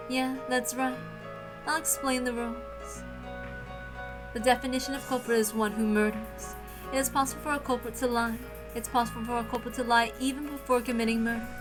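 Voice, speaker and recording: A woman reading a visual novel aloud as dramatic scripted narration. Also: fairly high pitch. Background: music.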